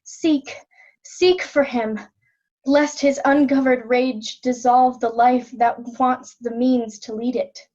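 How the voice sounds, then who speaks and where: A woman playing a devoted, grieving young queen in an amateur Shakespeare reading, speaking earnest, measured verse. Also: high-pitched, steady tone, darkish tone, lo-fi sound. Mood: pleading. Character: grieving, devoted young queen Cordelia seeking her mad father. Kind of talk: amateur Shakespeare reader's theatre.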